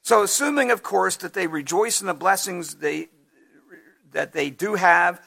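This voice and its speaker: Man preaching, high bright voice, gravelly, hoarse, measured delivery. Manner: measured preaching with restarts and throat clearing. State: losing his voice. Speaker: pastor. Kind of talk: sermon.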